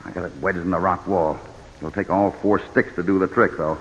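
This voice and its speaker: An actor playing a steady, resolute American cowboy hero in a vintage radio western, speaking with firm, deliberate authority. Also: male voice, low-pitched, a little hiss or hum, natural voice. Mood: grave, calculating. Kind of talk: 1940s-50s radio western acting. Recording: old radio recording, reverberant and muffled.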